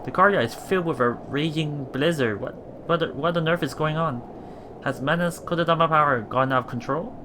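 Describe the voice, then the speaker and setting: A man reading a visual novel aloud for a Let's Play, expressive and dramatic in first-person narration. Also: fairly high pitch, brisk, noisy background. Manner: expressive, dramatic, with murmured reactions.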